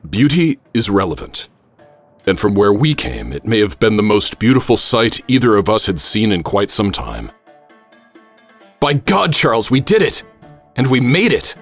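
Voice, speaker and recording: An actor playing a witty, grandiose American professor and adventurer, speaking in flowery, theatrical phrases in a radio drama. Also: male voice, lowish voice, no room sound. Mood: exultant. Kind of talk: radio drama acting and first-person narration.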